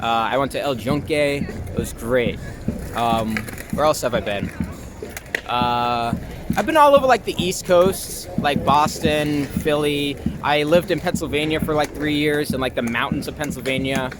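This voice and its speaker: A man's voice: a little high, expressive, unhurried. A young man chatting casually in an interview, hesitating often and using filler words. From New York. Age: young adult. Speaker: interview guest, actor and filmmaker. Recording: noisy outdoor city traffic.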